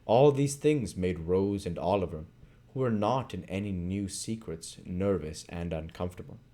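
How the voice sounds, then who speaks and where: A man narrates a classic novel. His voice is on the low side and very mellow. He reads in deliberate phrases, giving key words dramatic emphasis. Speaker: audiobook reader. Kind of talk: reading a classic novel aloud.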